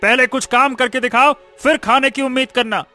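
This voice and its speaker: An actor playing a stern, hardworking farmer who lectures like a scolding older brother in a cartoon story. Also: male voice, very high voice, fairly even intonation, moderate pace, pushed and bright, soft background music, full character voice.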